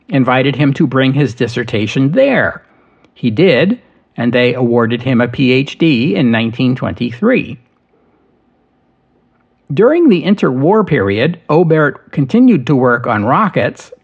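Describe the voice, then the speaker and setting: A man tells history in a measured, steady way, reading from a prepared script at a middling pitch. The recording sounds slightly dull and lo-fi. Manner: measured, steady storytelling delivery. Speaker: history podcast host.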